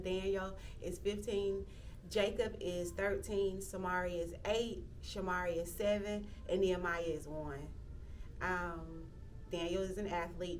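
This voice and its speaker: A woman's voice: fairly low pitch, recorded from a distance, a little hiss or hum. Reflective woman in her thirties. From Athens, Georgia, US. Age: 30s. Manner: reflective, with frequent "um" fillers and restarts. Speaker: interviewee, local county commissioner. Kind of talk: casual interview talk.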